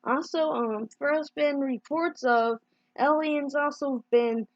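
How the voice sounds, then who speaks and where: A woman improvising mock emergency news bulletins as an amateur announcer, with halting delivery and mid-word corrections. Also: high voice, no echo, highs cut off.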